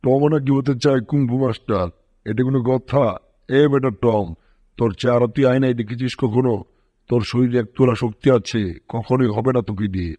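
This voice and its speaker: A bullying, scornful bulldog from a dubbed cartoon, voiced by a man. He taunts and brags in a medium-pitched voice, on a dry, slightly muffled recording. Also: steady tone, normal speed, mellow, dry recording, lightly acted. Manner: taunting, bragging, scolding. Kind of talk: amateur cartoon dub in Bengali. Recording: dull, muffled dry audio.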